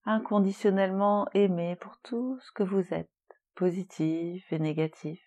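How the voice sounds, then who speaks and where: A woman leading a guided relaxation meditation in a soothing, gentle, unhurried manner with long pauses. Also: medium pitch, fairly even intonation. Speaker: yoga nidra relaxation guide.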